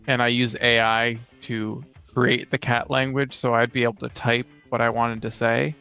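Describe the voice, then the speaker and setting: Gravelly, even-toned man on a dull mic. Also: medium pitch. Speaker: co-host. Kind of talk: casual podcast chat about tabletop gaming. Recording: dull recording with music behind.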